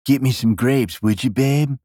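A man's voice: medium pitch, a little slow, mellow, slightly rough, dry recording, voice pushed up. Android king, male narrator acting: slangy, bossy, dim, cocky; comic audiobook, close dry sound. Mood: laid-back, cocky. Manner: slangy, bossy, laid-back.

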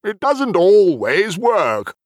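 Polite otherworldly host played by a man: higher male register, animated intonation, genial and measured, fantasy audiobook. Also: no echo, studio quality, full character voice. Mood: apologetic, businesslike. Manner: courteous, measured, gently apologetic.

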